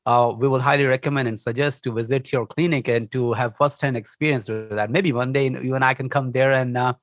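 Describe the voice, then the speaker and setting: A man hosts an interview in a mid-pitched voice, praising his guest in formal, elaborate phrases. His sound is dry and close, with almost no room around it. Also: fairly even intonation, a little fast, no room sound. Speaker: interview host. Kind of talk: interview hosting.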